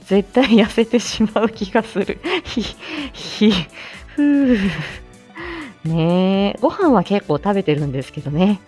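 Lively woman hosting a chatty morning live show. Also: mid-range voice, a little slow, breathy edge, faint music behind. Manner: polite explanatory phrasing mixed with playful asides. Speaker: live audio show host; speech-language therapist. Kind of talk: casual morning live chat with announcements.

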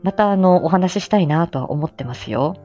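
A middle-aged woman hosts a casual livestream in a fairly low voice. Her intonation moves up and down freely as she chats in a playful, self-deprecating way. Music plays softly behind her. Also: lively intonation, a little fast. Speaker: livestream host.

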